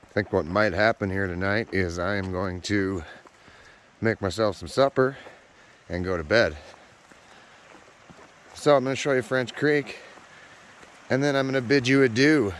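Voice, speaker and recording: A hoarse man with a fairly low voice, chatting to viewers from a handheld camera outdoors on a trail. He is casual and self-deprecating and talks straight to the audience, with faint outdoor noise behind him. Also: a little slow, long pauses. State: hoarse, losing his voice. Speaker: backpacking vlogger. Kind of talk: casual vlog narration on the trail. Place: outdoors on a hiking trail by a creek.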